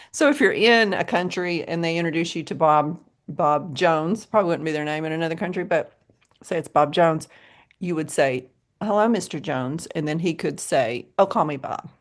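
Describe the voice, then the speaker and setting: An American woman with a low voice co-hosting a podcast, chatting casually and often laughing. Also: moderate pace. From United States. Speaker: podcast co-host. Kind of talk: casual chat.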